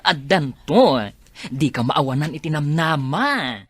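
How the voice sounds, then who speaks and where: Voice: mid-range voice, expressive, measured pace, a bit bright, breathy edge, dry recording. An actor playing a sympathetic, helpful friend in an Ilocano radio drama. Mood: sympathetic, reassuring.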